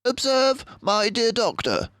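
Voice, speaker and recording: A man's voice: very high voice, sing-song, slow, a bit bright, dry recording, studio quality, pitched above the performer's own voice, heavily acted. A man voicing a keen, confident mouse detective in a storybook, speaking in a precise, theatrical way. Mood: observant, brisk.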